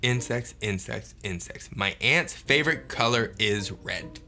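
A man's voice: mid-range voice, lively intonation, a little slow, sharp tone, soft background music. A man in his thirties, a children's read-aloud host, trading comic banter in a theatrical, playfully obtuse way. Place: small indoor studio set.

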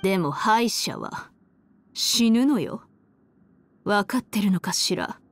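A woman playing a haughty, cruel, elegant villainess in a Japanese anime game, with a condescending, mocking, drawn-out aristocratic delivery. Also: medium pitch, expressive. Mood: coolly menacing. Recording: studio voice over game music.

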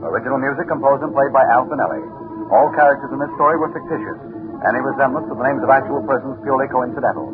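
Brisk American male radio announcer over loud music. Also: mid-range voice, narrow, tinny audio. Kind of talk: 1950s radio credits announcement. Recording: thin 1950s radio broadcast, loud music.

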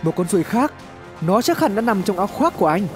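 A man's voice: high-pitched, very animated, a little fast. A man reads scripted horror story narration in a dramatic, suspenseful storytelling manner. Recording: music behind the voice. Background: background music.

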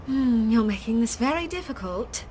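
An actress playing a formal, condescending alien envoy in a video game cutscene. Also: female voice, fairly high pitch, animated, moderate pace, dark tone, natural voice. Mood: cold. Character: cool businesslike alien envoy woman. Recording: game audio with clear ambient noise.

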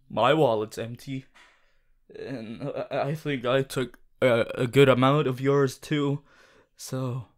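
A cheery young male superhero student, played by a man in an audio roleplay. His pitch swings widely and he takes his time, halting and then declaiming with boisterous, theatrical heroism. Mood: awkward, friendly. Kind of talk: ASMR audio roleplay to a listener.

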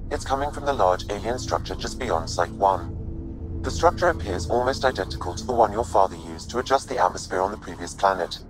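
A man as a deep-voiced, formal artificial intelligence. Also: animated, brisk, over music, lightly acted, low-quality recording. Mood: calm, informative. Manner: formal, precise, unemotional. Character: calm male artificial intelligence advisor, measured and formal. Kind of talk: scripted video game dialogue.

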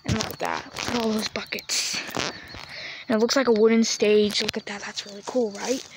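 A woman's voice: medium pitch, flat delivery, moderate pace, gravelly. Young woman vlogging outdoors on a phone. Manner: chatty, often whispering while walking. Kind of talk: casual walk-around vlog. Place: outdoors on a farm yard. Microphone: handheld phone camera. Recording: noisy outdoor phone audio.

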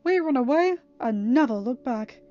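A woman acting out a protective, wounded male creature of chaos in a story reading. He speaks with theatrical, drawn-out emphasis over music, and the recording is dull and lo-fi. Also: high voice, expressive, warm tone, pitched above the performer's own voice. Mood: indignant, pleading. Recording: muffled lo-fi audio with music.

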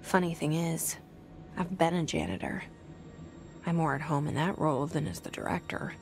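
Low-voiced, wry young woman. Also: moderate pace. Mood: wry, reflective. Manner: dry, understated, introspective. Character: wry, guarded young woman, reluctant new agency director. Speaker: protagonist, new director of an agency. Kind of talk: inner monologue in a video game.

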